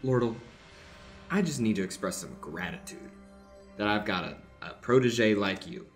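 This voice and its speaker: A man's voice: lowish voice, lively intonation, normal speed, lightly acted. A man playing a pompous, bullying elder-brother mystic who preaches emphatically in an improvised comic radio play. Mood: proud. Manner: preachy, emphatic, self-important. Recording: music under the voice.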